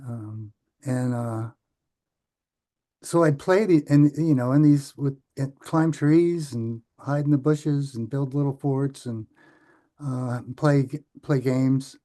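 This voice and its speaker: An American man in his seventies recalling his life as a podcast guest, speaking in a halting, thoughtful way that often trails off. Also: mid-range voice, warm tone. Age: 70s. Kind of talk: reflective interview recollection.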